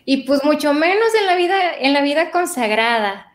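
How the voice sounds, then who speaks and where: A woman's voice: sits high, expressive, normal speed. A woman hosting a casual interview, asking playful, bubbly questions. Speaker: host.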